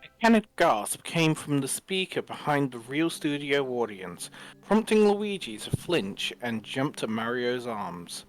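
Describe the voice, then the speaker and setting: A man's voice: medium pitch, big pitch swings, unhurried, poor audio. A man reading scripted story narration aloud on a livestream, as the narrator of a group reading.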